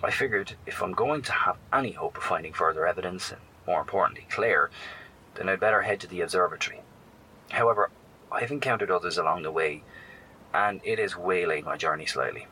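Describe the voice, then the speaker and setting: An actor playing a weary, wry professor out in a wasteland in a horror audio drama, earnest, self-deprecating and halting. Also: male voice, low-pitched, sharp tone, slight background noise, poor audio. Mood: serious.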